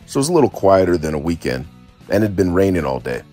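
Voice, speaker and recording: A man with a fairly low voice speaks in an easygoing, informal way. He sounds like a travel vlogger talking into a phone outdoors. Faint music plays behind him. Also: very animated, fast, mellow. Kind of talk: casual travel vlog narration. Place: outdoors on town streets and beach. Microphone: phone at arm's length.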